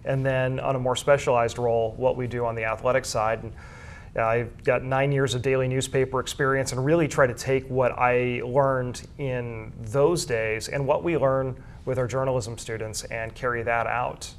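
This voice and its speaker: A man's voice: mid-range voice, fairly even intonation, moderate pace, slight background noise. A middle-aged American man speaks as a guest in an interview. He explains his work in an earnest, thorough way, with a mid-range voice. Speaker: guest, school sports information director and journalism teacher.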